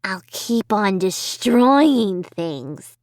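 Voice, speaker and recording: A woman voicing a cruel male ventriloquist dummy in an audiobook, with a harsh, whispery rasp and a slow, gloating delivery. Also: mid-range voice, animated, dry recording, studio quality. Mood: threatening. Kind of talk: audiobook reading.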